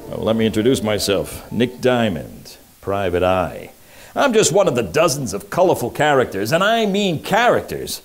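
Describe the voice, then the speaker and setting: An actor playing a slick, wisecracking 1940s radio private eye, pitching in brash, slangy tough-guy patter. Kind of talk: hard-boiled promo narration in old-time radio style. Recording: music under the voice. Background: music.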